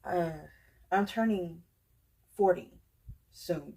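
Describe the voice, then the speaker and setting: A woman talks casually about her budgeting products. She rambles, restarts often and leans on fillers. Her voice sits low, with a gravelly fry. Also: slow, mellow. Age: 30s. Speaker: budgeting channel creator. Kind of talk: casual chat showing products.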